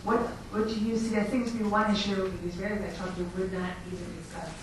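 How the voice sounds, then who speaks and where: A woman's voice: mid-range voice, level tone, fast. A woman asking a thoughtful question from the audience at a public talk, with some hesitation. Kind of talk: question from the floor. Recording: echoey hall, distant mic, noise.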